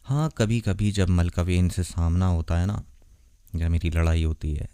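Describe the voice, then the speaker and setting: Casting: male actor as ancient vampire lover for ASMR roleplay. Deep, dark-toned, soft-spoken, tender and lonely. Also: smooth, dry recording, studio quality. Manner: soft, intimate, gentle, unhurried. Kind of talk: romantic ASMR roleplay, addressed to the listener.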